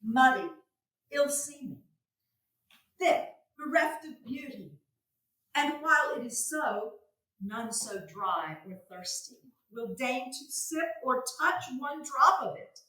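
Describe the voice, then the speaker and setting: A woman playing an earnest, admonishing wife, declaiming Shakespearean verse with measured emphasis in a staged reading. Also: high voice, expressive, sharp tone, heavily acted. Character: Katherina, tamed wife giving an earnest admonishing speech. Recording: very echoey room, far from the mic.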